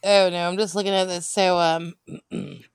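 A woman's voice: lowish voice, flat delivery, normal speed, clean tone, no room sound, studio quality. An American woman chatting casually and candidly, in an informal, rambling way with self-interruptions and some swearing. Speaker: podcast co-host.

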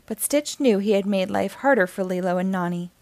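A woman's voice: mid-range voice, brisk, darkish tone, dry recording. A woman reading a children's story aloud as scripted narration.

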